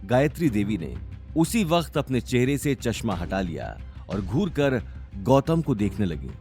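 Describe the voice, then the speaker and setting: A man's voice: medium pitch, big pitch swings, a little slow, mellow, faint music behind. A man narrating a scripted audio story in a dramatic style.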